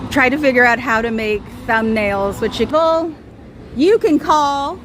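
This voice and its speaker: A woman with a high voice hosting a casual livestream outdoors, rambling and sarcastic as she talks straight to her listeners. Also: measured pace, a bit bright, a little hiss or hum. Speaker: livestream host.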